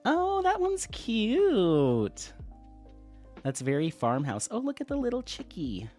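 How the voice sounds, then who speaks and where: A man hosting a casual craft livestream, slightly sniffly, reading viewer comments aloud in a relaxed, friendly way at a desk microphone. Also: high voice, takes it slowly, faint music behind. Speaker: livestream host. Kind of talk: casual live-stream chat, reading comments. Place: home craft room, at a desk.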